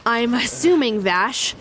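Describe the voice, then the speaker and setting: A woman playing a sharp, wry, suspicious adventurer in an audio drama, pointed and dry. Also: fairly high pitch, background noise.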